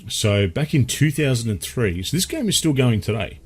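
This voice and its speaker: An Australian man hosting a gaming podcast in a laid-back chat with his brother, still a little croaky from a cold. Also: medium pitch, very animated, moderate pace. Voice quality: husky. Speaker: podcast host. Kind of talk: casual chat.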